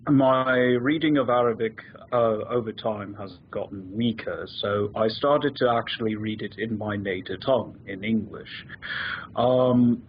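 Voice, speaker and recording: A man calling in to a discussion show, explaining his views with many pauses and restarts. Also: mid-range voice, highs cut off, slight background noise. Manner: hesitant, many fillers and restarts. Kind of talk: call-in discussion.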